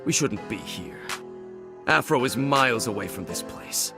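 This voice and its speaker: Stern, brooding swordsman, medium pitch. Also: animated, unhurried, music in the background. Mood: impatient. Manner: stern, terse. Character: brooding, impatient younger swordsman bent on revenge. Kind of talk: dramatic video game cutscene acting.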